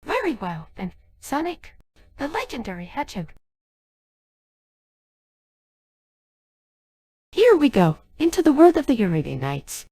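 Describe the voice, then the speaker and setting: A woman's voice: sits high, huge pitch swings, moderate pace, warm tone, dry recording, heavily acted. A synthetic female voice playing an earnest, formal young genie of a magic ring in a dubbed game cutscene. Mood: solemn. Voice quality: synthetic text-to-speech voice. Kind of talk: dubbed game cutscene dialogue by text-to-speech.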